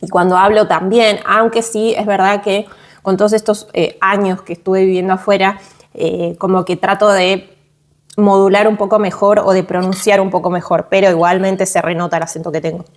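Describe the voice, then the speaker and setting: A woman's voice: medium pitch, flat delivery, moderate pace. A woman in her thirties with an Argentine accent chatting casually to camera at home as a vlogger, full of fillers and restarts. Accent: Argentine Spanish. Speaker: vlogger and mother. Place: at home, indoors.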